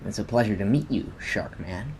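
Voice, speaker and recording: A man's voice: lowish voice, lively intonation, moderate pace, darkish tone, poor audio. A man playing a suave, slightly odd tech billionaire in a radio play. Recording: faint background ambience noise.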